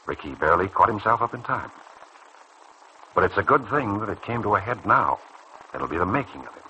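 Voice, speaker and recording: A man acting in a vintage radio soap as a calm, earnest doctor who probes and persuades in a measured way. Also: fairly low pitch, highs cut off. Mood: persuasive, reassuring. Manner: measured, probing, earnest delivery. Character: calm, earnest, persuasive male doctor counselling a younger colleague. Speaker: radio serial actor. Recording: noisy old radio drama recording.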